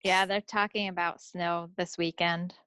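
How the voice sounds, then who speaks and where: A woman's voice: fairly low pitch, little pitch movement, unhurried, pushed and bright, clean tone, no echo. A woman co-hosting a podcast in a casual, folksy and chatty way. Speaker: podcast co-host. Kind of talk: casual chat.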